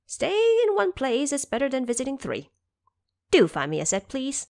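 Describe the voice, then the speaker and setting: Cocky immortal monkey king played by a woman, high-pitched, swooping, brash and mischievous, for fantasy audio drama. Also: wildly swooping pitch, moderate pace, vocal fry, darkish tone, no room sound, exaggerated voice. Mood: cheeky insistence. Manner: brash, insistent, bargaining.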